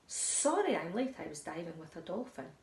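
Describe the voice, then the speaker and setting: A woman's voice: on the low side, big pitch swings, moderate pace, gravelly, a little mellow, recorded from a distance, voice pushed down. Tiddler, a woman voicing a little tale-telling fish. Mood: eager excuses. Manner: eager, apologetic excuses. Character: small boastful young boy fish who tells tall tales. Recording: echoey room.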